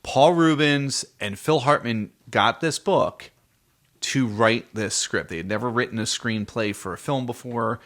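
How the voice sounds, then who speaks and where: A man's voice: medium pitch, a little slow, fairly bright tone. A man co-hosting a casual film discussion, explaining trivia and putting questions to his co-host. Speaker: podcast co-host.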